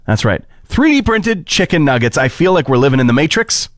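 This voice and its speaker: A man's voice: fairly high pitch, sing-song, fairly quick, dry recording, clean studio sound. A man in his thirties with a Canadian accent, hosting an entertainment podcast in a wry, conversational style. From Alberta, Canada. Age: 30s. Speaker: podcast host. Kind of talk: casual entertainment news and chat.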